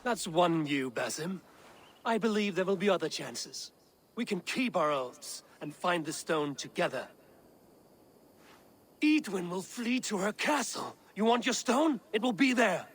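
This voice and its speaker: Loyal game Viking warrior: firm, solemn, raised pitch, faint ambient noise. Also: male voice, animated, measured pace, fairly bright tone. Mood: resolute, defiant. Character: steadfast, defiant Viking warrior, loyal to promises. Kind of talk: dramatic video game acting.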